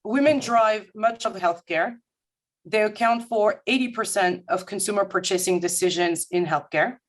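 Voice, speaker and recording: A woman from Paris with a French accent, a guest consultant presenting formally and in a measured, articulate way to an audience. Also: medium pitch. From France, grew up in Paris. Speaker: guest speaker, management consultant. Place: remote video-call talk.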